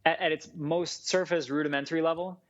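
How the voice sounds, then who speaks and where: A man who grew up speaking Farsi, a food scientist and chef, talking casually as a podcast guest in a rambling, animated way with lots of fillers. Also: fairly high pitch, brisk. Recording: dull lo-fi sound.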